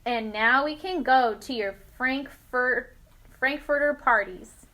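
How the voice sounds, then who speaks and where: Boastful storybook creatures, voiced by a woman, speak in a high voice. They taunt and crow with snooty pride. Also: takes it slowly, bright, forceful tone, full character voice.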